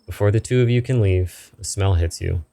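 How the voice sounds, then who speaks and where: A man's voice: deep voice, normal speed, creaky, mellow. A man reading a story game aloud and commenting on it as a gaming host, with music playing behind him. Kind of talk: let's play commentary and narration read-aloud.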